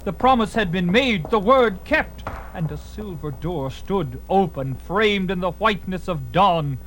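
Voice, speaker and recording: A man's voice: high-pitched, expressive, a little slow, slight background noise, rough recording. A man giving a theatrical, suspenseful scripted narration of an adventure story, with music behind him. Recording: loud music under the voice. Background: loud dramatic music.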